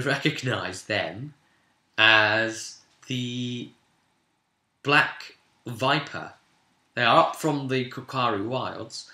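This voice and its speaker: A man with a bright, forceful voice of medium pitch recaps a tabletop fantasy campaign as game master. He tells it informally and with animation, slipping in casual asides. The recording has an echoey room sound.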